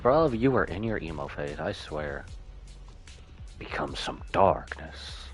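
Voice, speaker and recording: A man's voice: low voice, sing-song, slow pace, faint music behind. A man streaming a game with casual live reaction commentary, slangy, joking and incredulous in manner.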